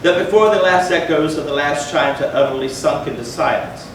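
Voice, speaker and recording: A man delivers a gothic tale as a dramatic stage reading. His phrasing is measured and theatrical, with deliberate pauses. The recording is distant and heavily reverberant, with clear noise over it. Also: fairly high pitch. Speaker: readers theatre performer. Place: large echoey room, live stage performance. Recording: echoey hall from far off, noisy.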